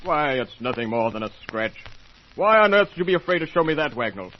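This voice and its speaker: An actor playing a shrewd, authoritative inspector, speaking deliberately and pointedly in an old-time radio mystery. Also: male voice, on the high side, big pitch swings. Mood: probing. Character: shrewd, authoritative police inspector, methodical accuser. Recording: muffled, lo-fi old radio recording with hiss.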